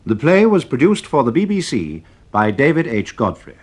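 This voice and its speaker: A man's voice: mid-range voice, huge pitch swings, moderate pace, breathy edge. A man making a formal scripted announcement in vintage radio style.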